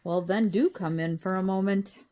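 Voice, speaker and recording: A woman voicing a kindly, calm little male professor with a British accent and quaintly proper manners, in a storybook reading. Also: medium pitch, moderate pace, slight background noise, close to an everyday voice. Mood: polite, welcoming.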